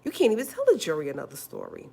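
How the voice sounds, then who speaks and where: A forty-year-old woman talks straight to her livestream viewers. Her voice sits very low, and she hammers her points home by repeating them. She calls listeners honey as she goes. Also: huge pitch swings, rapid-fire, creaky, mellow, husky. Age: 40s. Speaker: livestream host. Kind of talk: casual livestream talk.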